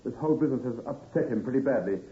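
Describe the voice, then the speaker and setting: An actor playing a sensible, protective gentleman friend, brisk, solicitous and commanding, in an old-time radio drama. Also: medium pitch, expressive, natural voice. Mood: concerned. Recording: tinny, band-limited vintage radio recording.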